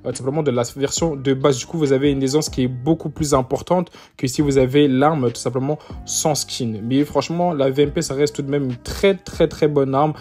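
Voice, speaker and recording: A man's voice: medium pitch, warm tone. A young man casually ranking weapons in a mobile shooter, chatty and full of filler words and gamer slang, talking straight to his viewers. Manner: loose, chatty, constant fillers and "tout simplement" restarts; gamer slang, addresses viewers as "les gars". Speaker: mobile game content creator.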